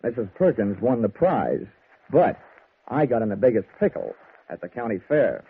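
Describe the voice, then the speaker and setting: A man's voice: medium pitch, very animated, moderate pace, noisy background. An actor playing a wisecracking male insurance investigator, narrating in wry, punchy 1940s radio detective style. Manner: wry, sardonic, punchy itemized delivery. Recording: tinny 1940s radio recording with music.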